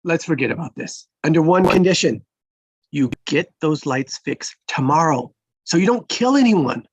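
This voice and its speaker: A man reading the part of a friendly, awkwardly flirty traffic cop in a live table read, halting and earnest with dry jokes. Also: a little high, animated, husky, dry recording, poor audio. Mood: friendly, joking.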